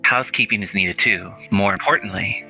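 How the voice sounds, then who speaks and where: Man putting on a low, crisp-edged, measured scholar. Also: sharp tone, soft background music. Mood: probing, measured. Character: kind, measured male scholar employer, probing and calm. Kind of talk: visual novel character reading.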